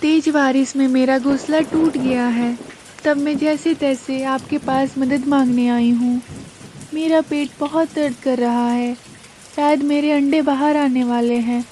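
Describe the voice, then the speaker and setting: A woman plays a worried little mother bird in a children's cartoon, very high in pitch and slow in delivery. She sounds plaintive and pleading, with noisy rain behind the voice. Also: fairly even intonation, dark tone. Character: anxious, pleading young mother bird, female. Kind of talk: dramatized Hindi children's cartoon story.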